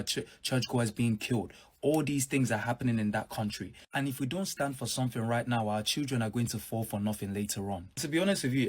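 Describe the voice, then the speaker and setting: A young man giving an impassioned spoken appeal, earnest and listing grievances in short punchy phrases. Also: fairly low pitch. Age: young adult. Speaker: young commentator on social media.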